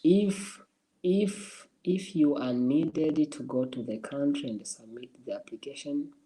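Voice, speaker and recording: Mellow-voiced man giving advice. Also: fairly high pitch, takes it slowly, poor audio. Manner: encouraging, repeats and restarts phrases. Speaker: livestream host answering viewers. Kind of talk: casual livestream advice.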